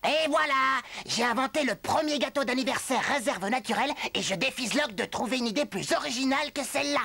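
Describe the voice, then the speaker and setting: A woman's voice: high voice, brisk, gravelly, pushed and bright, heavily acted. A woman voicing a cocky, stubborn, grumbling young creature in a French cartoon dub. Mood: boastful.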